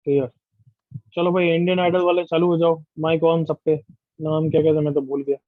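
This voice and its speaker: Brisk high-voiced male teacher. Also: little pitch movement, dry recording, phone-quality sound. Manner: brisk, drilling students with questions. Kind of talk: online class teaching. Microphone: video call.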